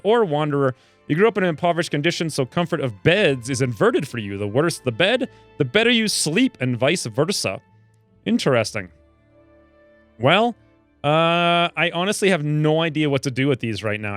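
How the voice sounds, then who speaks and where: A young man casually commenting while he plays a game, excitable and rambling, with self-mocking asides, speaking close to a desk mic. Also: medium pitch, clear, resonant tone, no room sound. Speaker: gaming video host. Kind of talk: casual let's play commentary.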